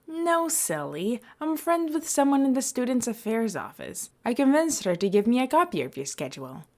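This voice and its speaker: A woman voicing a playful, excitable young male college student in a story, animated and mock-indignant. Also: a little high, moderate pace, pitched above the performer's own voice. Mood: playful, excited.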